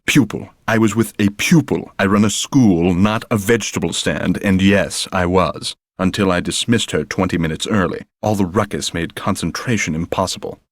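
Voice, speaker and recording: Casting note: actor as a condescending game psychic teacher, somewhat low voice, stiff formal diction, dry booth sound. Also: male voice, dry recording, close to an everyday voice. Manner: haughty, precise, uses long formal words. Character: pompous male psychic school headmaster, condescending. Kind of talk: video game voice acting. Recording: dry studio recording.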